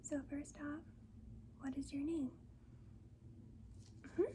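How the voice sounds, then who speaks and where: A woman speaks in a soft, breathy whisper, very slowly and deliberately. Her voice sits high, and she plays a gentle, reassuring nurse in an ASMR style. There is clear noise in the recording. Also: fairly even intonation. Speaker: ASMR performer playing a school nurse. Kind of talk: soft-spoken ASMR roleplay.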